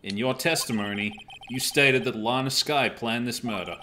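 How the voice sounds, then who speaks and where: A man playing an earnest, excitable defense attorney, arguing emphatically in a fan dub of a courtroom game. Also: medium pitch, normal speed, slight background noise, close to an everyday voice. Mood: assertive. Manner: emphatic, argumentative.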